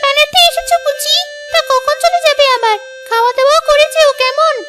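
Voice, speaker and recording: A woman voicing a snide, greedy, spiteful younger sister in a cartoon, with a squeaky delivery. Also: fairly quick. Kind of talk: Bengali cartoon dub. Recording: music behind the voice.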